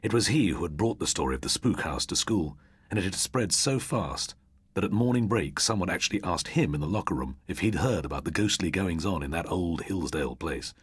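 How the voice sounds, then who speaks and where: A man's voice: low-pitched, expressive, brisk, breathy edge, no echo. A British man narrating a ghost story for an audiobook in a dramatic, scripted style.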